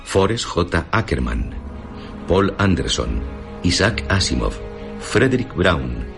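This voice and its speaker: A man reading a scripted radio documentary in a measured, formal announcer's delivery. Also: low voice, big pitch swings, creaky. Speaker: radio narrator. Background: music.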